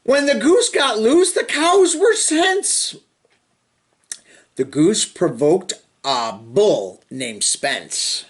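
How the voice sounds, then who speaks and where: A man reads a children's picture book aloud at a very slow pace. His pitch swings widely in a sing-song, expressive delivery, with drawn-out phrases and casual little asides to the young listener. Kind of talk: animated storybook read-aloud with chatty asides.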